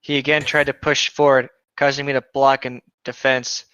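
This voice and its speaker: Male amateur narrator, bright crisp voice, casual read-aloud, dry but slightly lo-fi recording. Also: medium pitch, flat delivery, moderate pace, dry recording. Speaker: co-host of a fan fiction reading show.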